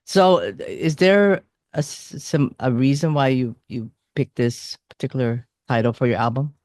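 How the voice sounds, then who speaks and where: A man hosting a casual music interview, forming his questions haltingly and repeating phrases. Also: medium pitch, a little mellow, no room sound, clean studio sound. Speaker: interviewer, music show host.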